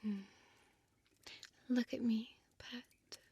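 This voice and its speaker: A woman playing a seductive, possessive female vampire in an intimate whispered audio roleplay, with slow, deliberate, teasing formal speech, close to the microphone. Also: on the high side, fairly even intonation, mellow, lo-fi sound, heavily acted. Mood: teasing, vain. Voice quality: breathy whisper. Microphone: close dry studio microphone.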